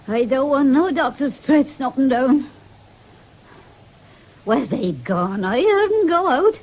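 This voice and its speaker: A woman's voice: high-pitched, animated, takes it slowly, a little mellow, full character voice. An actress playing an ailing, stubborn, fretful country mother with a rural English accent in a 1970s radio drama.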